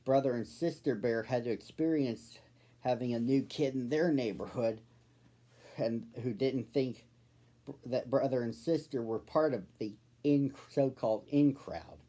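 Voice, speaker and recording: A man's voice: mid-range voice, unhurried, dark tone. A man in his thirties hosting and reading a storybook aloud for children. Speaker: storybook reader, host.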